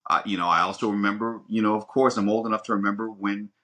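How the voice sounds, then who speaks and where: A man's voice: lowish voice, steady tone, fairly bright tone. A man co-hosting a podcast, talking casually and emphatically, often repeating words as he works through his point. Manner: emphatic, repeats words, halting rambling delivery. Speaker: podcast co-host. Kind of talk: casual opinionated discussion.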